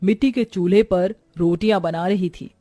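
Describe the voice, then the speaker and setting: A woman's voice: on the low side, fairly even intonation, moderate pace, warm tone, soft background music. A woman reading scripted narration for a moral story, as its storyteller.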